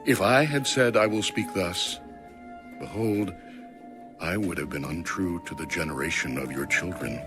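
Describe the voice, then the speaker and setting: A man giving a solemn, measured and dramatic scripted reading of scripture, like an audiobook. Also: low-pitched. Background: music.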